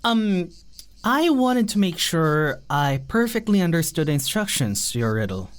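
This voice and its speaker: Polite young traveler, lively intonation. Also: mid-range voice, measured pace. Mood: careful, nervous. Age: young adult. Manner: hesitant, earnest, placating. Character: polite, nervous young human traveler, smooth-talking negotiator. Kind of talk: audio fiction podcast acting.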